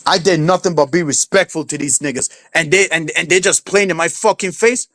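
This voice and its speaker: A man's voice: fairly high pitch, brisk, a bit bright, no room sound, studio quality. Heated Crucian-accented man ranting. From St. Croix, US Virgin Islands. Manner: heated, profane, taunting and threatening. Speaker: livestreamer. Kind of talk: angry livestream rant. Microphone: streaming setup.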